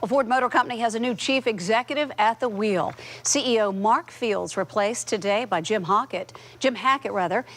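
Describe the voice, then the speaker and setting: An American woman reading scripted news as an anchor. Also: mid-range voice.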